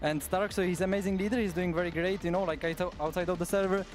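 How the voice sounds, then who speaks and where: High-voiced male pro player. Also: steady tone, fast talker, loud music behind the voice. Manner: loose, laughing, leans on "you know". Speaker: pro player giving a post-match interview. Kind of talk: post-match stage interview. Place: on stage in an arena.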